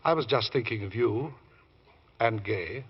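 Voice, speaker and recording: A man's voice: on the low side, lively intonation, a little slow, husky, soft background music. An actor in an old-time radio drama playing an older lawyer, wry, fatherly, fussy and sceptical. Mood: dry, wry. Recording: dull vintage radio recording.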